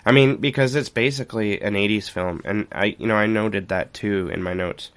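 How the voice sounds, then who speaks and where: A man's voice: fairly low pitch, normal speed, a bit bright. A man co-hosting a podcast in a casual, candid and informal film review chat. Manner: informal, candid, mildly profane. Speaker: podcast co-host.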